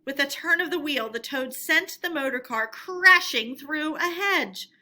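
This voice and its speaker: A woman storyteller reading a storybook aloud in an expressive narrating style. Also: high-pitched, a little slow.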